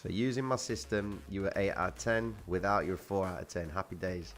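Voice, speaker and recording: Laid-back deep-voiced man streaming. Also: steady tone, fairly quick, soft background music. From Cyprus. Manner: laid-back, slangy, answering chat. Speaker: trading livestream host. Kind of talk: casual livestream chat reading viewer comments.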